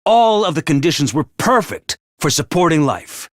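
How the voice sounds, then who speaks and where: Theatrical, wildly swooping mascot commander. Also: male voice, a little high, very animated, normal speed, dry recording, studio quality. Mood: solemn explaining. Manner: theatrical, emphatic, swinging from grave to bombastic. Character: excitable, theatrical mascot commander of a military school. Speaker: commander addressing students. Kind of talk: animated video game voice acting.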